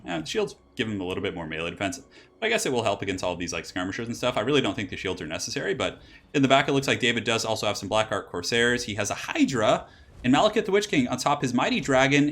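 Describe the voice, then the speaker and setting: A young man casting a strategy game match solo, casual and rambling, his voice slightly raspy from allergies. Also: lowish voice, a bit bright. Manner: rambling, casual, laughs and exclaims at plays. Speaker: esports caster and channel host.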